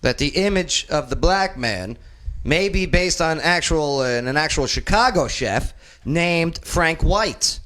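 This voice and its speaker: Male talk host, 40, animated and joking, slightly high pitch with big swings. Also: unhurried. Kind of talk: casual panel chat.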